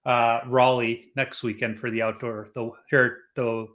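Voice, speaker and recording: A man's voice: mid-range voice, flat delivery, unhurried, fairly bright tone. A middle-aged man from Chicago hosting a casual podcast, chatty and rambling with restarts. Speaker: podcast host.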